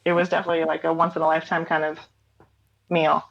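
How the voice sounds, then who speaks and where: A woman in her thirties with a low voice talks casually as an interview guest. She rambles warmly through fillers and laughs. The audio is dull and lo-fi, like a remote call. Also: completely flat delivery, quick pace, vocal fry, slight background noise. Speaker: interview guest, singer-songwriter.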